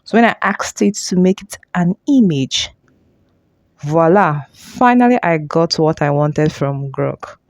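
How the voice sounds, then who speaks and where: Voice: medium pitch, lively intonation, unhurried, no room sound, studio quality. A tutorial host explaining things informally, often repeating and rephrasing along the way. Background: music.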